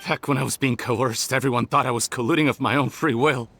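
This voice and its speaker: Bitter, forceful criminal, sharp-edged voice. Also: male voice, medium pitch, fairly even intonation, fast talker, no echo. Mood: bitter scorn. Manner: sardonic, theatrical. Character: bitter, scornful male criminal, desperate and self-pitying. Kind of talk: dramatic video game dialogue.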